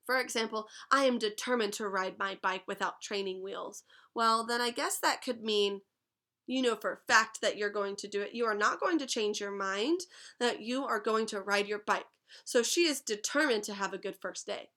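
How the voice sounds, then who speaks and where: A young woman teaching a class, reading a picture book aloud and pausing to explain words and ask questions. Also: mid-range voice, pushed and bright. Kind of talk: read-aloud storytime lesson.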